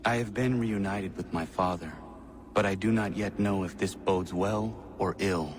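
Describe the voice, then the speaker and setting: Man playing a deep-voiced, solemn young warrior. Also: a little hiss or hum, close to an everyday voice. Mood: brooding, reflective. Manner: formal, deliberate phrasing without contractions. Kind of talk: dramatic game cutscene acting and narration.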